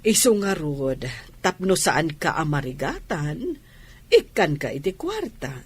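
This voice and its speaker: An actress playing a generous, devoted lover in a radio drama, husky, with a Filipino accent. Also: female voice, low voice, sing-song, unhurried, full character voice. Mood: doting. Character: generous, devoted lover offering money and support. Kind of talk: Ilocano radio drama acting.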